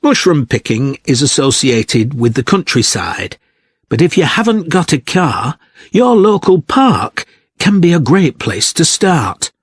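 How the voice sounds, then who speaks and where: A male author and guest speaker giving practical, instructive advice in a scripted talk. His voice sits a little high and moves widely in pitch, with unhurried delivery. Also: big pitch swings, breathy edge, dry recording, clean studio sound, exaggerated voice. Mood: measured, advising. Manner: measured, instructive. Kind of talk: informational talk, scripted exam listening recording.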